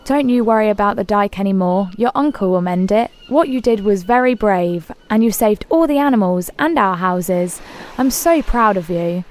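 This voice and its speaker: A woman's voice: medium pitch, animated, normal speed, darkish tone, lightly acted. A woman voicing an earnest, caring father in a children's story. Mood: reassuring. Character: proud, caring father of a young boy, storybook.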